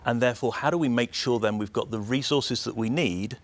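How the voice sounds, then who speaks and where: A man with a British accent giving measured, explanatory answers as an executive being interviewed. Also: medium pitch. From United Kingdom. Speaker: energy system operator executive, interview guest.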